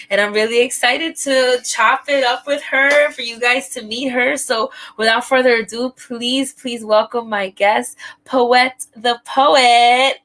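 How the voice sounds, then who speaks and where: A woman's voice: fairly high pitch, a bit bright. A young woman hosting a live online talk from her room, upbeat and slangy, with frequent laughs. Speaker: host. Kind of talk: casual live-stream chat. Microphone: video call.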